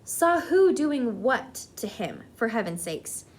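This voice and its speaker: Woman putting on a loud blustering angry father. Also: a little high, sing-song, moderate pace. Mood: indignant. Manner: loud, indignant, emphatic. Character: blustering, hot-tempered middle-aged father, shouting in outrage.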